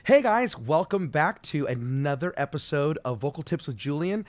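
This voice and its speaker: A middle-aged man teaching as a vocal coach, friendly and explaining slowly with demonstrations. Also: on the high side, expressive, no room sound, soft background music. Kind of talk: solo video voice lesson.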